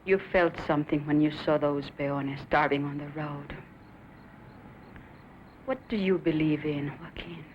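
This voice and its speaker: Earnest village woman in a western, really slow and fervent, muffled with some hiss. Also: deep voice, fairly even intonation. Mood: reproachful, earnest. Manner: solemn, pleading, fervent. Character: earnest, devout village woman, pleading and steadfast. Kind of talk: dramatic western acting.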